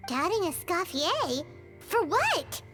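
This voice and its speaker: Squeaky, chirpy little fairy companion. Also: female voice, big pitch swings, slow pace, fairly bright tone, heavily acted. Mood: puzzled. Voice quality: squeaky, childlike. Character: tiny floating childlike fairy companion, curious and chirpy. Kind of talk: video game voice acting. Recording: game music behind the voice.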